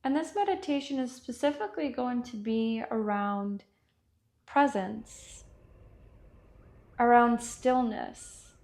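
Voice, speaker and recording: A woman with a fairly high, noticeably creaky voice leads a guided meditation. She speaks very slowly and gently, leaving long pauses between short instructions. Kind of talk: guided body scan meditation.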